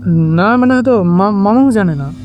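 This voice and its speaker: A man's voice: high-pitched, expressive, normal speed, lo-fi sound. A man playing a skeptical, reluctant young man in an audio drama, argumentative and dismissive. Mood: reluctant, evasive. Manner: incredulous, hesitant, argumentative. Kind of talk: Bengali audio story acting.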